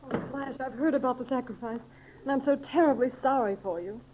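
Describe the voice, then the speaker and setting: An actress playing a tender, brave young heroine with earnest, melodramatic delivery in a 1930s radio serial. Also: female voice, high voice, fairly quick, darkish tone, close to an everyday voice. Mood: earnest, sorrowful. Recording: noisy 1930s radio recording.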